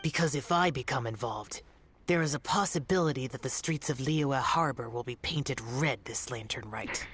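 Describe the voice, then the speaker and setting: A woman voicing a grave, aloof, menacing guardian in an anime video game, stern and measured. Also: very deep voice, slightly rough. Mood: grave, warning.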